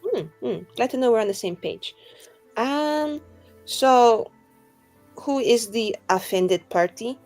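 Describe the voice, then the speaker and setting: A woman's voice: fairly high pitch, expressive, takes it slowly, a little mellow, soft background music. A woman playing a friendly, naive young female adventurer in a tabletop game, rambling casually in very colloquial phrasing. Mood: curious, casual. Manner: rambling, casual, filler-heavy valley-girl phrasing. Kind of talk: improvised tabletop roleplay dialogue.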